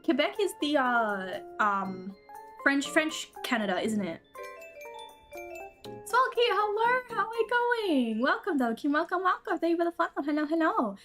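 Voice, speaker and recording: A woman's voice: high-pitched, big pitch swings, a little slow, fairly bright tone, faint music behind. A woman with an Australian accent chatting casually with her viewers while streaming a game, laughing often.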